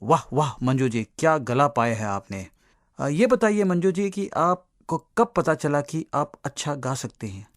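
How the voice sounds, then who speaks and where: A man's voice: on the high side, animated, unhurried, dry recording, studio quality. A man interviewing a guest on radio, asking questions in a polite, appreciative way.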